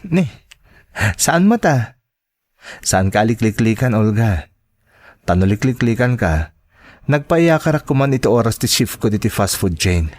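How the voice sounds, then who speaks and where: A man's voice: lowish voice, big pitch swings, a little slow, pauses often, darkish tone, dry recording, studio quality. A man playing an earnest, polite young suitor in a radio drama, explaining himself with an Ilocano accent. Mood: defensive, reproving. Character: earnest, polite young suitor who works in fast food. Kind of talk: Filipino radio drama acting.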